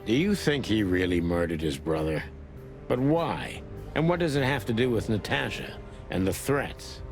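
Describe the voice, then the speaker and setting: A man's voice: lowish voice, very animated, normal speed, vocal fry, faint music behind. A man playing a weary old rooster detective in a film noir game, terse, brooding and world-weary. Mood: serious. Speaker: narrator and lead detective. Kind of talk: hardboiled film noir voice acting.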